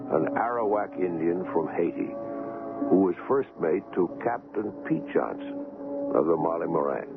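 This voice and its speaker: An actor playing an older doctor who narrates his memories in a measured way for a radio drama. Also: low voice, creaky, heavily acted. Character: older male doctor, reminiscing, measured storyteller. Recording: tinny old radio broadcast with music.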